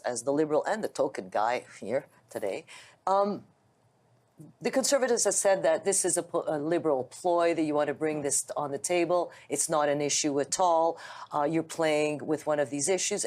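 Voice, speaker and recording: Deep-voiced woman moderating a debate. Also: expressive, normal speed, darkish tone. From Canada. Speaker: panel host.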